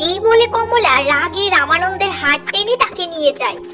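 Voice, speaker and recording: Very high-pitched, lively cartoon story narrator. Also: normal speed. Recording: music behind the voice. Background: music.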